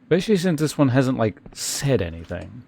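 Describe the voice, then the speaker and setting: A man giving casual game commentary as a streamer, musing in an unhurried, hesitant way and reading game text aloud. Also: mid-range voice, sing-song, gravelly, darkish tone, slight background noise. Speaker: live streamer playing a video game.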